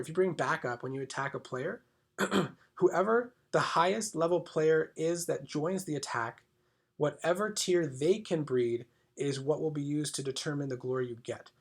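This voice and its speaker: A man with a congested voice from a cold, explaining game rule changes in a casual, hesitant way, often clearing his throat. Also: fairly high pitch. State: congested, slightly sick. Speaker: gaming channel host.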